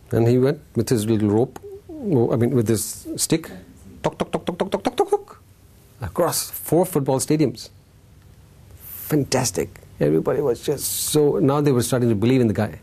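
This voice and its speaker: Male spiritual teacher, husky and relaxed in tone, informal storyteller who plays with the tale and checks that listeners follow. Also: medium pitch. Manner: informal, playful, acting out dialogue, checking in with listeners. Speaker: Buddhist teacher giving a talk. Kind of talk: animated storytelling Dharma talk to a live group.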